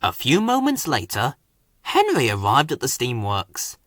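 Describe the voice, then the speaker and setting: A man narrating a children's story in an expressive read-aloud style. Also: medium pitch, a little slow, no room sound, clean studio sound.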